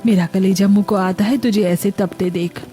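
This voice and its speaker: A woman's voice: mid-range voice, steady tone, moderate pace, dark tone, soft background music, close to an everyday voice. A woman playing an elderly mother-in-law in a cartoon family story, tender, affectionate and fretful. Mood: tender concern.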